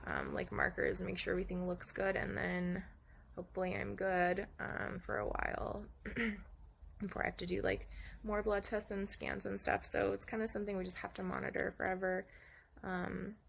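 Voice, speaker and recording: A young woman at home giving a soft, halting personal update, her voice hoarse and raspy after surgery, with many pauses and frequent throat-clearing. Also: mid-range voice, a little hiss or hum. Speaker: vlogger sharing her recovery. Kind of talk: casual personal update to camera.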